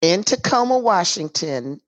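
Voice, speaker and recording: A hoarse woman co-hosting a casual podcast chat, speaking emphatically and tossing in folksy asides like "girl" and "honey". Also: fairly low pitch, very animated, measured pace, no echo. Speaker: podcast co-host. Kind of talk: casual chat between sisters. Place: home in a city in Washington State.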